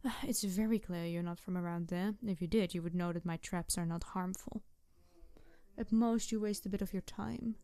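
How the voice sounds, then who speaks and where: A woman voicing a playful, mischievous tanuki spirit girl in a roleplay audio drama, teasing the listener in an excitable, rambling way. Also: on the low side, moderate pace, clear, resonant tone, dry recording, lightly acted. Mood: teasing, haughty. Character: playful, mischievous young-sounding tanuki trickster spirit girl, over two hundred.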